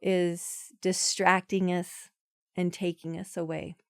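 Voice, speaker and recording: A woman's voice: fairly low pitch, level tone, slow pace, clear, resonant tone, no echo, studio quality. A woman in her forties, a podcast guest, talking in a chatty, emphatic way with many restarts. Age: 40s. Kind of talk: casual conversational interview.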